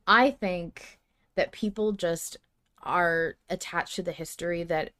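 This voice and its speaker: A woman's voice: on the low side, takes it slowly, fairly bright tone. Emphatic, opinionated woman chatting casually. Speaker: podcast co-host. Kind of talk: casual fan discussion chat.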